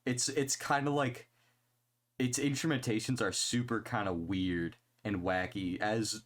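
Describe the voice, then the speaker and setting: Loose, low-voiced young man. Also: steady tone. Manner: loose, swearing, quick to laugh. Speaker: podcast co-host. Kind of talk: casual chat.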